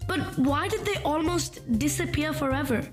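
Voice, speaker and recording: Give me a woman playing a bubbly, high cartoon kid who makes puns, over music. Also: normal speed, close to an everyday voice. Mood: perky. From India. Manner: bubbly, punny, easily startled. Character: excitable young cartoon kid. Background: background music.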